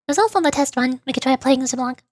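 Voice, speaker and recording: A woman's voice: sits high, fast. A woman game streamer chatting to herself and reading on-screen text aloud as she plays. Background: game music.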